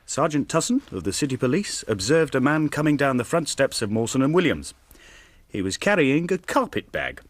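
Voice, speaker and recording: Formal police inspector, dry, animated delivery. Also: male voice, mid-range voice, no room sound. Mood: official, grave. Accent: English. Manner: official, deliberate, report-like delivery. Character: brisk, formal Victorian male police inspector. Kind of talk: radio drama acting.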